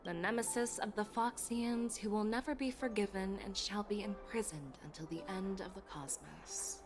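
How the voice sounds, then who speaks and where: A woman's voice: mid-range voice, moderate pace, over music, close to an everyday voice. A woman voicing a composed, courteous female envoy in a video game. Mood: solemn.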